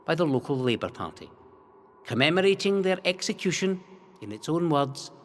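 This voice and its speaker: Dramatic male history narrator, widely swooping pitch. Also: sing-song. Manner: dramatic, measured storytelling with emphatic phrasing. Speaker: narrator of a local history series. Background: music bed.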